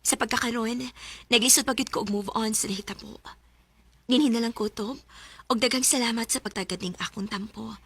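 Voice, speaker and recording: A high, bright-voiced young woman. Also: steady tone, brisk, breathy edge, dry recording. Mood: sad, reflective. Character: grieving young woman recalling her best friend. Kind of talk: Bisaya radio drama acting.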